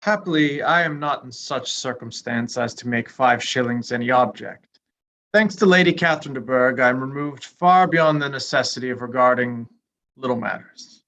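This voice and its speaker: A man's voice: medium pitch, moderate pace, pushed and bright. A man playing a pompous, self-satisfied clergyman in a staged period drama reading. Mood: smug.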